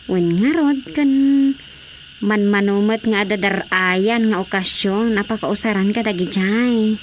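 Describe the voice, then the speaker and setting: A woman's voice: mid-range voice, measured pace, a little hiss or hum, close to an everyday voice. A woman with an Ilocano accent acting in a radio drama as a worried, doubtful villager in everyday conversation. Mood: concerned. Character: worried, doubtful woman short of things to sell.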